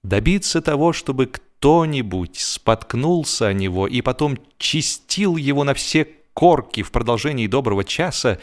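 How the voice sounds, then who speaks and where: A man narrating a humorous story in an expressive, theatrical way, with dry comic timing and drawn-out pauses. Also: mid-range voice. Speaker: narrator, audiobook reader.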